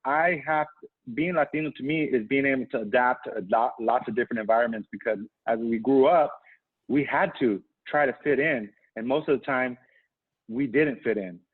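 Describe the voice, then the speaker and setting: A man speaking as a panelist on a video call, earnest and halting, with many restarts and "you know"s. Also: mid-range voice, breathy edge. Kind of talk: casual panel conversation. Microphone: video call mic. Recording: tinny phone-like audio.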